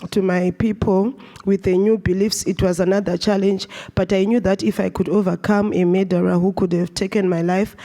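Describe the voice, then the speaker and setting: A woman's voice: mid-range voice, warm tone. A woman from Zimbabwe giving an earnest personal talk on stage at a live event, often restarting her sentences. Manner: earnest, with frequent restarts and fillers. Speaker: speaker at a live storytelling event.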